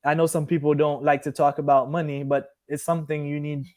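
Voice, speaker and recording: A man in his early thirties who grew up in Haiti and Miami speaks with a fairly high voice. He hosts a casual live stream, chatting to viewers in a loose, rambling style. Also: flat delivery, fairly quick, a little mellow. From Haiti, moved to Miami at fourteen. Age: early 30s. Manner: rambling, loses and regains his thread. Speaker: vlogger hosting a live stream. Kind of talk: casual chat, answering live comments.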